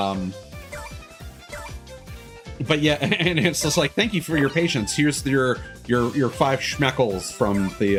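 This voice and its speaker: A man chatting casually as he streams a game, rambling and self-deprecating, with some swearing. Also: lowish voice. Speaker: live streamer. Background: cartoonish upbeat game music.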